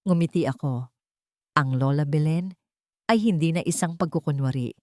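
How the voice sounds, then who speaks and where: A woman's voice: low-pitched, moderate pace, a little mellow, no echo, studio quality. A woman reading a scripted first-person dramatic story as an audio narrator.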